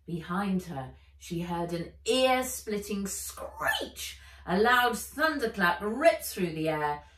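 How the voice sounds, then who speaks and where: A woman author reading her children's adventure book aloud with dramatic, deliberate storybook narration. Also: mid-range voice, lively intonation, a bit bright. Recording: roomy echo, a little far from mic.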